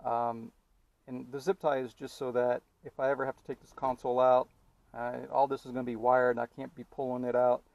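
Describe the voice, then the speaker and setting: A man giving a casual DIY tutorial as he works, thinking aloud in an informal, halting way. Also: medium pitch, level tone, creaky, a little mellow, no room sound. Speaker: DIY car vlogger. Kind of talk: casual hands-on installation tutorial, talking while working.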